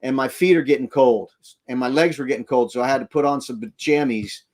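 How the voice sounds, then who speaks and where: A man's voice: mid-range voice, fairly quick, darkish tone. A man from Florida hosting a casual, rambling live stream from inside his RV camper, chatting with viewers and thanking them. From Florida, United States. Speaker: livestream host. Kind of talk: casual rambling livestream chat.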